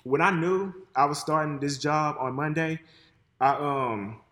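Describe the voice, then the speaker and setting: A man's voice: a little high, a little slow, a bit bright, reverberant. A man co-hosting a casual chat, telling rambling stories full of swearing. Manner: profane, rambling storytelling.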